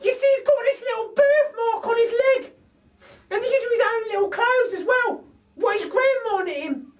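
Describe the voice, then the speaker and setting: Man doing a shrill, excitable lady burglar. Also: moderate pace. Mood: astonished, excited. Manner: exclaiming, colloquial uneducated speech. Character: Burglar Betty, chatty excitable working-class lady burglar, apologetic. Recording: distant microphone.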